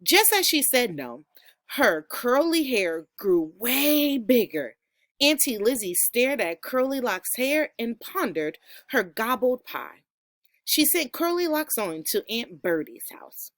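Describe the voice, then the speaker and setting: A woman teacher reading a storybook aloud to children in an enthusiastic, expressive way. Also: a little high, slow, no room sound, clean studio sound.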